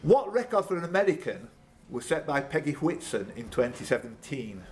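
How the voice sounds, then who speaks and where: A man's voice: a little high, very animated, normal speed, a little hiss or hum. A man working as a quizmaster, reading questions live with informal teasing banter and stumbling self-corrections. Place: indoors at a pub quiz tournament.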